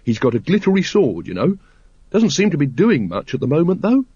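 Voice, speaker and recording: A man's voice: a little high, wildly swooping pitch, a little fast, warm tone, slightly rough, no room sound, dull audio, pitched above the performer's own voice, exaggerated voice. A man voicing an earnest, naive young male watchman in a storybook reading, polite and literal.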